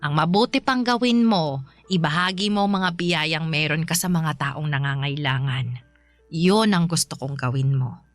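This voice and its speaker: A woman playing a gentle, pious elderly adoptive mother in a radio drama, speaking softly with small chuckles. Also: sits low, measured pace, no room sound, soft background music. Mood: gentle warm. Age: older.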